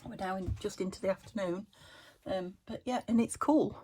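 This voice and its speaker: A middle-aged woman with a croaky voice from a cold, chatting casually while she crafts and asking little tag questions. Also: mid-range voice, moderate pace, mellow, slight background noise. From England. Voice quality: gravelly. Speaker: craft vlogger. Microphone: phone camera.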